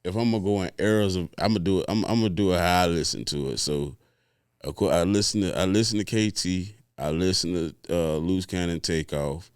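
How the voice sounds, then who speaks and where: A man's voice: low voice, a little fast, clear, resonant tone, no echo, clean studio sound. A man in his forties from Orlando, chatting casually as a DJ guest and thinking aloud with restarts. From Orlando, Florida. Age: 40s. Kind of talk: casual interview chat.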